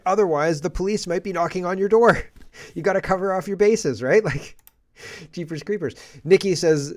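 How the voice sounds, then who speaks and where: A Canadian man hosting a political podcast, giving casual, blunt and scornful commentary on the news in colloquial language. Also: on the high side.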